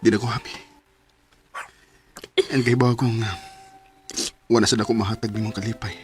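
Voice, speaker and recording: A man playing an apologetic, guilt-ridden young boyfriend in a radio drama, husky-voiced, with a Cebuano Filipino accent. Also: lowish voice, flat delivery, brisk, close to an everyday voice. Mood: guilty, resolute. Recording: music under the voice.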